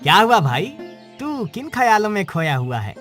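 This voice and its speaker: A man's voice: sits high, sing-song, moderate pace, fairly bright tone. A man playing an upbeat, encouraging woodcutter friend in a cartoon story, with a hearty manner. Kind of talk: Hindi cartoon story dialogue. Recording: music under the voice. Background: music.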